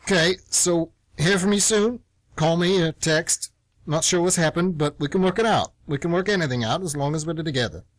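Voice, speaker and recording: A man's voice: fairly high pitch, moderate pace, no room sound, close to an everyday voice, rough recording. A man acting a stubborn, lovesick young man in a radio play, terse, defensive and earnest. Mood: pleading, awkward. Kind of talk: stage-play dialogue read as radio theatre.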